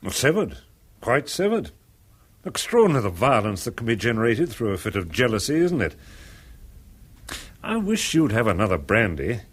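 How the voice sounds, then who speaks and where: A man's voice: mid-range voice, sing-song, normal speed, pauses often, slightly rough. An actor playing a flippant, cynical upper-class man in a 1970s radio drama, breezy, sardonic and callously offhand. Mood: blithe.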